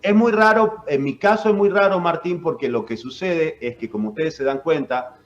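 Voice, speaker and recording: An Argentine man whose accent blends the north with Córdoba explains his work in a measured, chatty way, dropping in fillers and little tag questions. His voice sits mid-range and the recording sounds dull and lo-fi. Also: on the high side, soft background music. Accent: Argentine, northern mixed with Córdoba. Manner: explanatory, with frequent fillers and "¿no?" tags. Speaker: voice actor and announcer. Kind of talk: radio interview guest.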